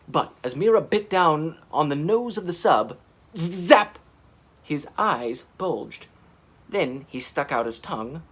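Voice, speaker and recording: A young man reads a children's story aloud. His narration is unhurried and expressive, with lively swings in pitch. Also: breathy edge. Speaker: story reader. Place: covered wooden porch outdoors.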